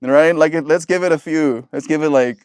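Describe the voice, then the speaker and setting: A man's voice: fairly high pitch, fairly quick, darkish tone, clear, resonant tone, no echo, clean studio sound. A man in his twenties, a native Afrikaans speaker from southern Africa, chatting casually with his stream audience in a playful, rambling way. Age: 20s. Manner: playful, rambling, laughs often. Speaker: livestreamer.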